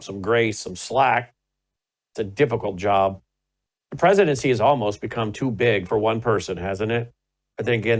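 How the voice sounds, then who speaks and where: Male talk host, middle-aged, emphatic, pushes hard on rhetorical questions. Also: a little high. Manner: emphatic, rising to forceful questions. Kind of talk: political commentary and panel hosting.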